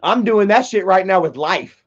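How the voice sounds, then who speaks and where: A man's voice: high-pitched, moderate pace. Profane rambling man in his thirties. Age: 30s. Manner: profane, rambling, animated storyteller. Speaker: livestreamer. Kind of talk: casual livestream storytelling. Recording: dull lo-fi stream audio.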